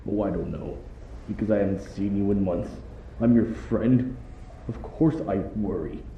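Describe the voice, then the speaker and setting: A man's voice: fairly low pitch, measured pace, gravelly, mellow. A man playing a cocky but loyal young soldier in an anime fan audio drama. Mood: worried, frustrated. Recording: echoey, dull, distant, faint noise.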